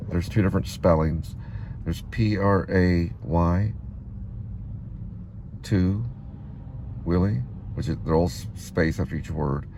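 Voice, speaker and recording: A man's voice: sits low, gravelly. A middle-aged man talking casually in a vlog, wandering and reminiscing as he goes, with noise behind him. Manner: wandering, reminiscing, spells things out. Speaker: vlogger and amateur playwright. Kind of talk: casual rambling vlog talk.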